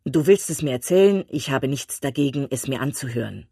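A woman's voice: a bass voice, animated, brisk, mellow, breathy edge, no room sound, heavily acted. A woman reading a dry, teasing old husband. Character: dry, ironic, unbothered older husband.

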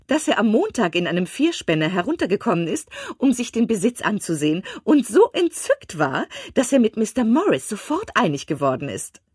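A woman reading aloud and voicing an excitable, gossiping middle-aged mother of five daughters in an eager, insistent manner. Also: medium pitch, a little fast, few pauses, husky, no room sound, clean studio sound, pitched above the performer's own voice. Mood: excited.

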